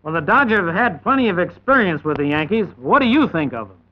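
High-pitched man narrating a vintage sports newsreel. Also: big pitch swings, fast talker. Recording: dull vintage 1950s newsreel sound, music behind.

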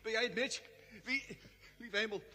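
A man's voice: sits high, moderate pace, sharp tone. An actor playing a jittery, frightened space crewman in a vintage radio drama, stammering and agitated. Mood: panicky. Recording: old radio recording with long reverb.

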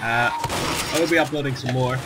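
A man's voice: medium pitch, normal speed, heavy background noise. A young man, rambling in casual gameplay commentary while still getting over an illness, full of fillers and restarts. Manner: rambling, self-deprecating, many fillers and restarts. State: recovering from a virus, lost his voice. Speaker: gaming YouTuber.

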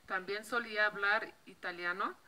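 Slovenian lady vlogging outside, super bright voice, chatty, keeps restarting words, handheld mic. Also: medium pitch, steady tone, normal speed. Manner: chatty, restarts words often, laughs lightly. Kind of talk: casual chat to camera. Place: outdoor patio by a block wall.

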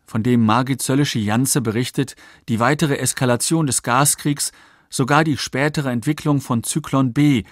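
A man reads scripted documentary narration with a measured, factual delivery. His pitch is middling and the studio sound is dry and close. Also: no echo.

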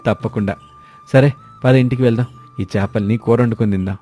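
A man voicing a fond, encouraging young village-born husband in an animated moral story, over music. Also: medium pitch, fairly quick, close to an everyday voice. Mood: cheerful.

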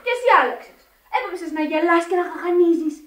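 A woman's voice: very high-pitched, expressive. An actress playing an eager, lovestruck teenage girl in a Greek radio drama, girlish and impulsive. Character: eager, lovestruck teenage Italian-immigrant girl, pleading daughter. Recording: roomy, distant old radio recording.

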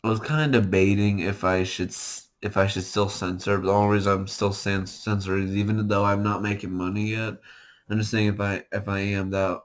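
A young man giving casual reaction commentary in an amused, halting way, often laughing through his words. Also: fairly low pitch, level tone. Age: young adult. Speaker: reaction streamer. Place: small bedroom, indoors.

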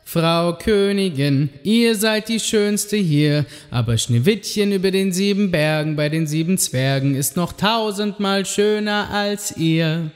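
An actor playing a solemn, truthful magic mirror, reciting in slow, formal phrases. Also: male voice, sits high, expressive. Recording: long hall reverb, music underneath.

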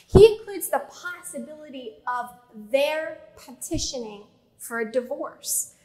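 High-voiced woman preaching from the pulpit. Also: low-quality recording. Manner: measured preaching with slow emphatic pauses and gestures. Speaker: pastor. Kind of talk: sermon. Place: church sanctuary with pipe organ. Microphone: pulpit mic.

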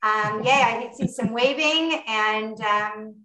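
A woman's voice: on the high side, takes it slowly, bright, forceful tone. A Canadian woman chef hosting a live online cooking class, enthusiastic and welcoming, chatty with many fillers. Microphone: video call. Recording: echoey room over a video call.

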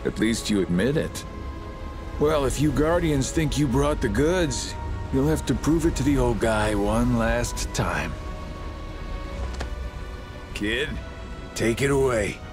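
Cocky, swooping game villain. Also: male voice, medium pitch, expressive, takes it slowly, pauses often. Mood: smug, taunting. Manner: sardonic, taunting. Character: cocky, mocking older male villain. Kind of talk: video game voice acting. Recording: music under the dialogue.

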